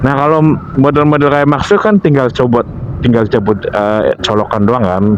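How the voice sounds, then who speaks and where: A man's voice: mid-range voice, normal speed, dull audio, a little hiss or hum. An Indonesian man giving informal, instructive vlog advice, often repeating himself and searching aloud for words. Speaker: motovlogger giving gear care tips. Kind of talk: informal explanatory vlog talk.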